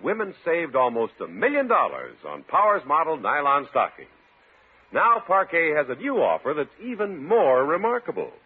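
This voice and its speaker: A man's voice: on the high side, breathy edge. An American man announcing on old-time radio, reading a scripted advertisement with polished, emphatic salesmanship. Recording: tinny, muffled old radio recording.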